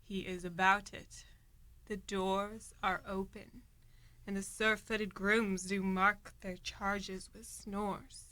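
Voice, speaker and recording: A woman's voice: mid-range voice, pushed and bright, dry recording. A woman acting a scornful, scheming noblewoman in a Shakespearean radio play, speaking verse with commanding urgency. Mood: tense, anxious. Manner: commanding, dramatic, urgent Elizabethan verse delivery.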